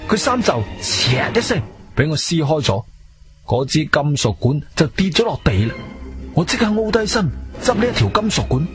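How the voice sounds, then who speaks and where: Animated Cantonese adventurer hero, music behind. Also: male voice, sits high. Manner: expressive, emphatic storytelling. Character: male adventurer hero, shrewd, firm, questioning investigator. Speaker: narrator and lead actor. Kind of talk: dramatic first-person audio drama narration. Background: background music.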